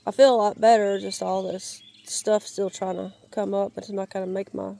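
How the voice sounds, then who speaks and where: Woman, hoarse and crackly from a cold, flat mellow delivery, halting outdoor phone walk-and-talk, birds in background. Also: mid-range voice. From Georgia, US. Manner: halting, searching for words, many restarts. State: raspy, crackly voice while getting over a cold. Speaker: gardener giving a yard tour. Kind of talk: casual rambling walk-and-talk. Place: outdoors in a wooded yard. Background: birds and outdoor ambience.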